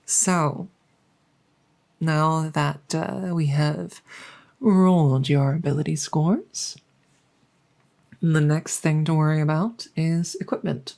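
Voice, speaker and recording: A woman playing a friendly, chatty dungeon master in a soft-spoken ASMR roleplay, musing and hesitant as she talks intimately to the listener. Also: low-pitched, slow pace, creaky, long pauses, exaggerated voice. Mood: casual, explanatory. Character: friendly female dungeon master, chatty, teasing, doting cat owner.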